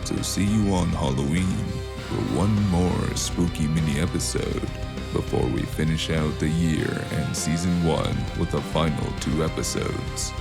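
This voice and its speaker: Male podcast host: very low, creaky, unhurried outro read over music. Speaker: podcast host and cast member.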